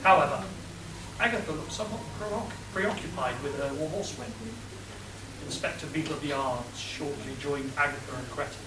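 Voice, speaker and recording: A man's voice: fairly high pitch, moderate pace. A man giving scripted narration for a comic radio play. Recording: noisy echoey room, distant mic.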